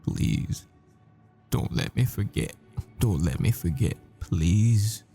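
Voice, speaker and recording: Casting: male actor as troubled professor-assassin boyfriend, slow and stammering, dramatic audio roleplay, faint music underneath. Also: fairly low pitch, expressive, warm tone. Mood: desperate pleading. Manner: halting, stammering, repeats himself. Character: desperate boyfriend, dazed math professor and assassin.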